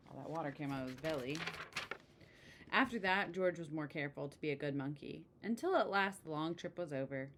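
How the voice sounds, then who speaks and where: A woman reading a children's picture book aloud in an expressive way, with casual asides. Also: sits low, fairly quick, a little hiss or hum. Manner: expressive, exclaiming story delivery. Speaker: storybook reader.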